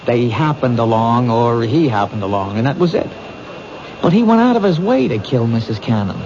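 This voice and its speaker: An earnest young detective presses his theory with a lively, rising and falling voice. The 1970s radio drama sounds muffled and hissy. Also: mid-range voice, moderate pace. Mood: reasoning. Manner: earnest, questioning, animated. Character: eager young male police detective. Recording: dull noisy old radio broadcast.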